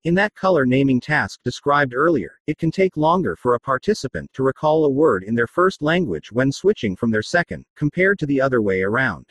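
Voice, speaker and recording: Male reporter-narrator, husky tone, lively delivery, explains clearly, dry close sound. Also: mid-range voice, no room sound. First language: English. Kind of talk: scripted documentary narration.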